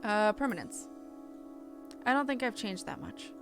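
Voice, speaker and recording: A woman's voice: a little high, a little slow. A woman live-streaming a game, reading story text aloud expressively and chatting casually in between. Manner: expressive reading mixed with chatty, self-interrupting reactions. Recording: music behind the voice. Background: game music.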